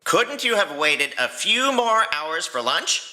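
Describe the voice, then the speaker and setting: A man as young Viking dragon rider, wildly swooping pitch, piercing bright tone, sarcastic, exasperated, exaggerated cartoon ranting. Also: very animated, slow pace, full character voice. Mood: angry, sarcastic. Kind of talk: animated fan fiction audio drama.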